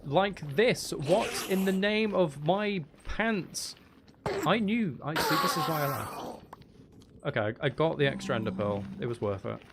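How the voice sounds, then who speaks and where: A man's voice: on the high side, expressive, a little slow, very noisy. A man giving casual gameplay commentary, muttering and thinking aloud to himself. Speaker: gaming video host.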